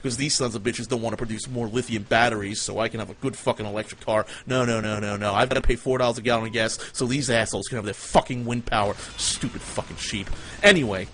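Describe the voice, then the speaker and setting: A man's voice: medium pitch, steady tone, fast, a bit bright. A man improvising tall tales casually as a livestream host, ranting and rambling with plenty of swearing. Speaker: livestream host telling stories. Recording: noisy live stream room. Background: clear background noise.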